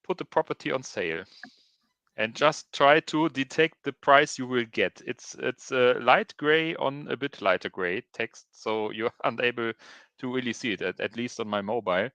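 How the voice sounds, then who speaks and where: Halting man, bright clear voice. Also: mid-range voice, no echo. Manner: halting, many restarts and ums. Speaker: podcast co-host. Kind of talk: casual chat.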